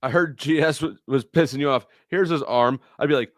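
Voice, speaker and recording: A man's voice: medium pitch, brisk, dry recording, clean studio sound. A middle-aged man hosting a livestream, chatting casually with viewers in a rambling, blunt way with some swearing. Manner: rambling, blunt, profane, anecdotal. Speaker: livestream host.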